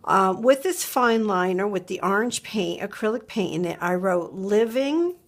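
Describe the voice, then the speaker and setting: Slow, chatty woman with a slight cold. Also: mid-range voice, animated. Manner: chatty, musing aloud, repeats words to herself. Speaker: craft video host. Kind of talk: relaxed crafting tutorial narration. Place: indoor craft desk. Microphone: overhead camera above a desk, hands only.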